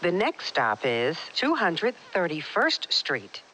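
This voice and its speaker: A woman's voice: fairly low pitch, huge pitch swings, slow, fairly bright tone, a little hiss or hum, rough recording. A woman reading scripted train announcements as a transit announcer.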